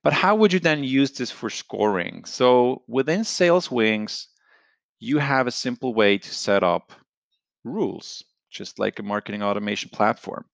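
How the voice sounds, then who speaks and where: A man giving an explanatory software tutorial, talking through it step by step in a conversational, unscripted way. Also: fairly low pitch, creaky, no room sound. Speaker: software product presenter. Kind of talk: screen-share product tutorial, explanatory.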